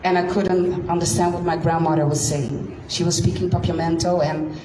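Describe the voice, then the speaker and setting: A woman in her thirties, born in Curacao with Dutch as her first language, telling her story to a live audience at a slow, deliberate pace. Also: on the low side, flat delivery. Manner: slow, deliberate, with frequent pauses. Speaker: guest speaker. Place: event room. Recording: echoey room, distant mic, noisy.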